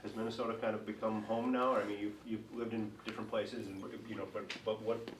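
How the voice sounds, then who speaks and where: A man reporter with a low, flat voice asks a hesitant, rephrased question. He sounds distant from the microphone in a roomy space, with clear background noise. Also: fast talker. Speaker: sports reporter. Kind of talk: press conference question. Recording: distant, roomy, noisy pickup.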